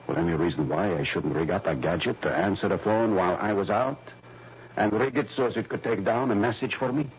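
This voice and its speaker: An actor playing a gruff, agitated middle-aged father in an old-time radio drama, blunt, impatient and defensive. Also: lowish voice, fairly quick. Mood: subdued, defensive. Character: agitated, gruff retired father of a kidnapped girl. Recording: noisy, muffled vintage radio recording.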